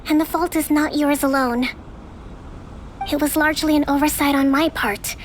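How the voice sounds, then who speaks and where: A woman voicing a composed, gracious young strategist and leader in a video game, calm, diplomatic and formal. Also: high voice, moderate pace, slight background noise, close to an everyday voice. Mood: gentle, regretful.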